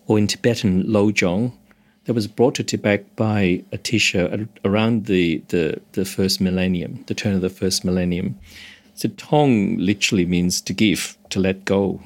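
Low-voiced meditation teacher from Hong Kong. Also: male voice. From Hong Kong, moved to Australia as a teenager. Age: 50s or older. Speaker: meditation instructor, interview guest. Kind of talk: explanatory interview talk.

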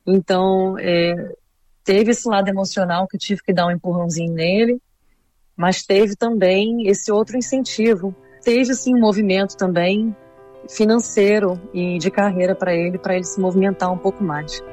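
A woman's voice: mid-range voice, fairly even intonation, moderate pace, warm tone, faint music behind. A Brazilian woman being interviewed, talking reflectively and with feeling, full of little fillers. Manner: reflective, emotional, many fillers like "assim" and "sabe". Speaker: interviewee, returning immigrant. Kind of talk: casual conversational interview.

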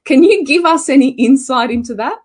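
A woman's voice: high voice, lively intonation, brisk, darkish tone, breathy edge. A woman hosting a broadcast interview, reading fan questions in an upbeat, playful manner. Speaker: interview host.

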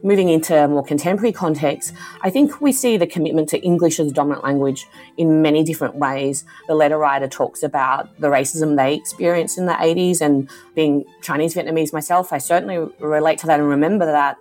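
Woman academic, low voice, thoughtful and unhurried, faint music behind. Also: a little mellow. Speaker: academic philosopher, guest. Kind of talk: interview answers.

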